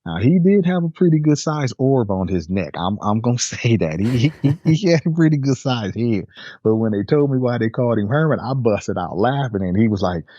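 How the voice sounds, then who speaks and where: An older man from Nebraska telling a personal story in a relaxed, rambling way with casual slang. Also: mid-range voice, fairly quick, dry recording, lo-fi sound. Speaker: storyteller guest. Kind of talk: personal storytelling, recounting a memory.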